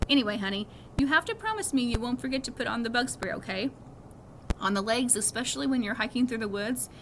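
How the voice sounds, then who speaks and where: A woman's voice: a little high, expressive, fast talker. Woman reading as a caring mother, noisy recording. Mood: instructing. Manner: coaxing, instructing. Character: caring, reassuring mother of a schoolboy. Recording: clearly noisy background.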